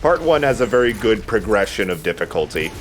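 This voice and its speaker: A man's voice: lowish voice, sing-song, fairly quick, slight background noise. A man giving casual, rambling gaming commentary as a let's play host, sometimes swearing.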